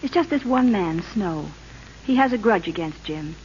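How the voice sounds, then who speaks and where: An actress playing a politician's devoted, anxious young wife in old radio drama, earnest, affectionate and pleading. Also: female voice, medium pitch, animated, normal speed, a little mellow, close to an everyday voice. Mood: anxious, confiding. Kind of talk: 1930s radio acting. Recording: muffled old radio recording with hiss.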